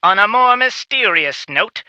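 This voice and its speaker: A very bright, high and swooping voice delivers theatrical tour narration for a video game. The delivery is showy and presentational, in a dry studio sound. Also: male voice, expressive, a little slow, smooth, no echo, highs cut off, heavily acted. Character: showy theme-park audio tour announcer.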